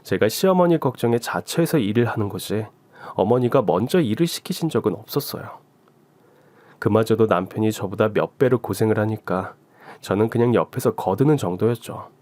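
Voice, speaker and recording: A man narrating a story in the first person with a gentle reading manner. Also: lowish voice, lively intonation, a little fast, no echo.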